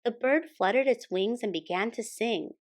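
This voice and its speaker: A woman's voice: fairly high pitch, normal speed. Woman reading a children's storybook aloud. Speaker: storytime host and reader. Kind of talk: children's picture book read-aloud, scripted narration.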